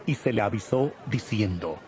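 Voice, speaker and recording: A man narrating a dramatized audio Bible in a solemn, measured storytelling manner. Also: fairly low pitch, animated, a little mellow. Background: music.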